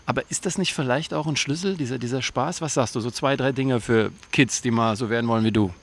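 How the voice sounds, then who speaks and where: A man's voice: medium pitch, fast. A man hosting a casual sports interview, talking in a chatty, colloquial way. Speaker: host and interviewer.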